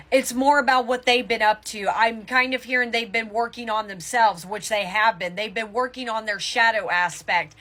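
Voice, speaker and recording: Bright, higher-voiced woman reading tarot. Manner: emphatic, halting and self-correcting. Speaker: tarot reader. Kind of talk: casual spoken tarot reading to viewers.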